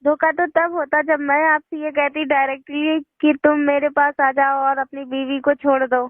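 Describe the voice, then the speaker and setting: A tinny phone recording carries a young woman's high voice. Her intonation stays flat and even. She is insistent and unflustered in a personal conversation. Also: normal speed, dry recording. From northern India. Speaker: phone caller. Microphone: telephone.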